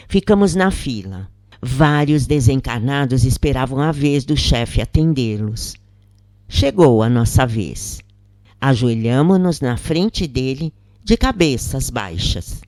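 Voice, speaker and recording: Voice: medium pitch, darkish tone, dry recording, studio quality. A narrator reading a scripted first-person story.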